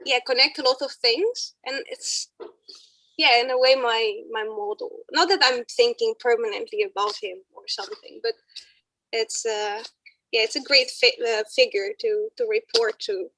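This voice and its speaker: A woman's voice: a little high, normal speed, fairly bright tone, no echo, poor audio. A young woman from Romania, a student introducing herself in an online workshop, chatty and searching for words. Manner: chatty and searching for words, with frequent yeah fillers.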